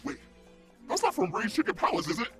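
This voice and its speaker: Whiny loud villain, high and swoopy, indignant, music behind, radio comedy. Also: female voice, very animated, brisk. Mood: wailing. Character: petulant, easily upset supervillain. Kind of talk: comic radio play acting.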